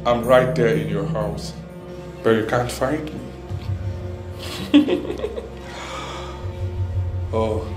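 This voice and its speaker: An actor playing a mocking, unseen male tormentor, who delights in his cruel game and taunts slowly. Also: on the low side. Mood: taunting. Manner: slow, taunting. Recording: echoey with music behind.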